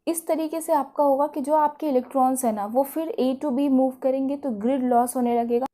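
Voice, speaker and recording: Female Indian lecturer, fairly high and very soft-edged mellow voice, didactic, mixes English terms into her explanations. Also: steady tone, normal speed. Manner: didactic, often checks understanding with short tag questions. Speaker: physiotherapy lecturer.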